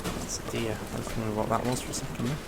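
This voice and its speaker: A man's voice: medium pitch, level tone, fast talker, heavy background noise. Man with a strained voice. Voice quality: rough, tired-sounding voice. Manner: easygoing, explains as he plays, many ums and uhs. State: strained, failing voice. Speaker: gameplay commentator. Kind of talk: casual let's-play commentary.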